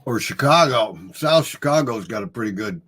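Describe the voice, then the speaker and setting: A man's voice: mid-range voice, animated, moderate pace, fairly bright tone. A middle-aged man with a Midwestern accent, hosting a casual live chat and telling stories in a rambling, easygoing way. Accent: Midwestern American. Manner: easygoing, rambling storyteller, chuckles often. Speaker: host.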